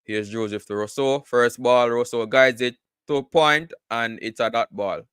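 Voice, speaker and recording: A man's voice: medium pitch, moderate pace, a bit bright, smooth, no echo, studio quality. A man giving casual live cricket commentary, full of repeated words and "you know" fillers.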